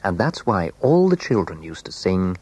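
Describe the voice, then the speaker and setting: A man narrating a scripted children's story in a measured, dramatic way, letting phrase endings fall hushed. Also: deep voice, sing-song, over a noisy crowd.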